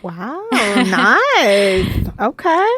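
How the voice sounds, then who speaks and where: A woman co-hosting a podcast in casual chat, upbeat and quick to chime in with encouragement. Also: medium pitch, sing-song, fairly bright tone, breathy edge, dry recording. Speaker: podcast co-host.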